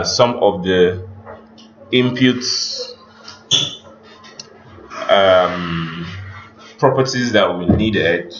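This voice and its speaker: A man giving a screen-share coding tutorial as a teacher, explaining step by step in a halting, instructional way. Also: lowish voice. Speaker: computer teacher. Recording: muffled with background noise.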